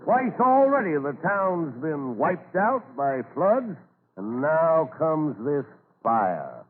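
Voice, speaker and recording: A man's voice: on the high side, big pitch swings, takes it slowly. An actor with an American accent playing a worried, outspoken frontier townsman in an old radio drama, talking in a dramatic, folksy way. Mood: gloomy. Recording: scratchy, narrow-band old radio recording.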